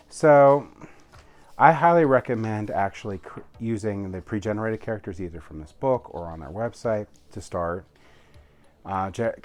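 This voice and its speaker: A man giving a casual, rambling, enthusiastic review as he explains a game rulebook. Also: deep voice. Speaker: tabletop game reviewer, host.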